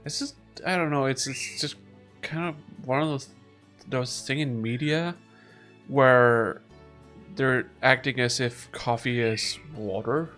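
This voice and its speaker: A woman reading a game's narration and dialogue aloud, expressive and lively, and chatting casually between lines. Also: rumbling low, takes it slowly, soft background music. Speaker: streamer and co-player.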